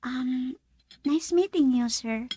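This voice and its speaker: An actress playing a young woman who works at a club, asking her father lively questions. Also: high-pitched, takes it slowly, slight background noise. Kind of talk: radio drama acting.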